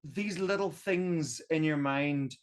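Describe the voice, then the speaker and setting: A young Irish man chatting on a history podcast, animated and emphatic, thinking aloud as he goes. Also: on the high side, takes it slowly. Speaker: guest history video creator. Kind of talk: casual roundtable podcast chat.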